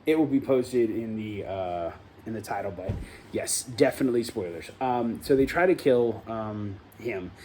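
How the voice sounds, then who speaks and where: A man's voice: lowish voice, normal speed, mellow, a little hiss or hum. A man hosting his own review show, recapping an episode in a casual, rambling way that often corrects itself mid-sentence. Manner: rambling, loose, self-correcting delivery.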